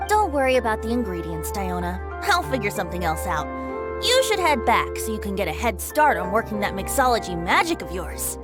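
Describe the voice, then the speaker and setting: A woman's voice: medium pitch, big pitch swings, fairly quick, close to an everyday voice. A woman playing a good-natured, adventurous teenage boy in a video game, earnest and easygoing, with a light boyish voice. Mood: earnest, reassuring. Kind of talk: animated video game dialogue acting. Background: music.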